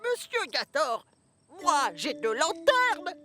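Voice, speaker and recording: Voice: high voice, sing-song, slow pace, pushed and bright, exaggerated voice. An actor voicing a fussy, cautious male brake van in a children's cartoon, speaking formally and dutifully and easily alarmed. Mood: helpful. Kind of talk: French-dubbed children's cartoon acting. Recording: music bed behind the voice.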